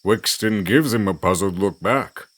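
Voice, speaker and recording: A man's voice: lowish voice, a little slow. A man reading scripted fantasy story narration as the narrator of an audio drama.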